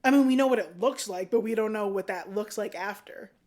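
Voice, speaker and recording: A woman's voice: medium pitch, fairly quick, a little mellow. A woman in her thirties, a guest on a podcast, talking in an easygoing, reflective way and laughing often. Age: 30s. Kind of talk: casual chat.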